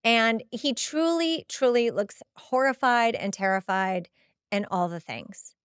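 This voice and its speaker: A woman's voice: on the high side, slow pace, clear, resonant tone, dry recording, clean studio sound. A woman hosting a podcast, giving a casual, chatty and emphatic recap of a TV episode. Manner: expressive, emphatic, chatty, acts out quoted dialogue. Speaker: podcast host.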